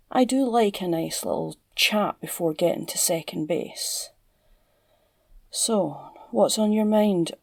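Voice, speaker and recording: A woman with a Scottish accent playing an obsessive, flirtatious female villain in an ASMR roleplay, teasing and drawling in a breathy, gravelly voice. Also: on the low side, a little mellow, no room sound, clean studio sound, heavily acted. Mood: teasing, coy. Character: obsessive, flirtatious, psychotic female villain, captured crime boss. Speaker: audio roleplay voice actor.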